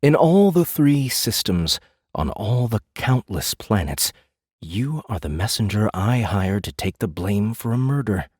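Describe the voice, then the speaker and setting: A weary fae captor from a fantasy audiobook drama. His speech is very slow and swings widely in pitch, with a husky, breathy grain. He sounds brooding and disdainful, recorded dry and close. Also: sing-song, a little mellow, no echo, exaggerated voice. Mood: suspicious, musing. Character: weary, haughty male fae jailer in human guise.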